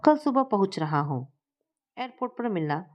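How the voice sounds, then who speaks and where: Woman narrator as sensible young male lover in an audio story, earnest and measured. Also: mid-range voice, very animated, dull audio, close to an everyday voice. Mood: eager. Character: earnest, sensible young man deeply in love.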